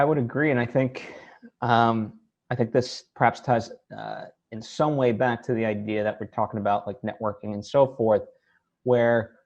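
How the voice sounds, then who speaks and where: A man's voice: medium pitch, darkish tone. Casual male interview host, slightly dull sound. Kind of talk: casual reflective conversation.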